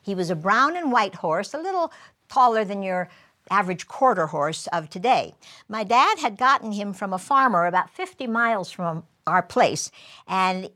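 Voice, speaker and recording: A woman storyteller speaking earnestly, telling a true story in the first person as the person it happened to and slowing for emphasis. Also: medium pitch, lively intonation, sharp tone. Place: performance studio with audience.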